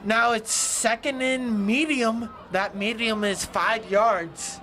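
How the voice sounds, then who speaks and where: Female play-by-play announcer, loud and chatty, joking, outdoor stadium press box, faint noise behind. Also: a little high, slow pace. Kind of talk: live sports commentary.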